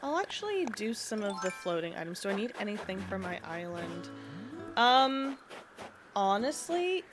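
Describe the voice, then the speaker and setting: A woman's voice: mid-range voice, sing-song, moderate pace, music in the background. A sniffly woman streamer chatting casually and thinking aloud while she plays a game. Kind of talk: casual gaming chat.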